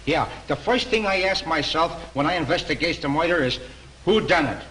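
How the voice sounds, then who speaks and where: A self-important, slow-witted guard in an early talkie, his fairly high voice bouncing up and down as he lays out his ideas. Crackly hiss from the old film soundtrack sits under every line. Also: expressive, fairly bright tone. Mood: earnest. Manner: earnest, self-important, ungrammatical. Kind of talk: 1930s film acting. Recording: hissy 1930s film soundtrack.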